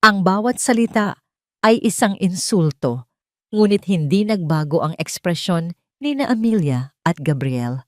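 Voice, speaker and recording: A woman's voice: fairly low pitch, animated, unhurried, no room sound, clean studio sound. A woman reading a scripted dramatic story as narrator.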